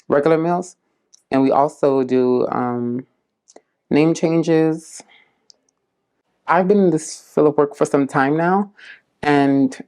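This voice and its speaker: A woman from South Florida, a community case manager, giving unhurried, earnest interview answers, often pausing with "um" and "like". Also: deep voice, darkish tone. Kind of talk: casual interview answers to prompts.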